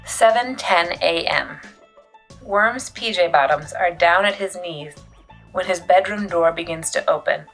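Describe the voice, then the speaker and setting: A woman reading a children's novel aloud as an expressive storyteller, with dramatic pauses and emphasis. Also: fairly low pitch, soft background music, rough recording. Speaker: youth services librarian reading a book aloud.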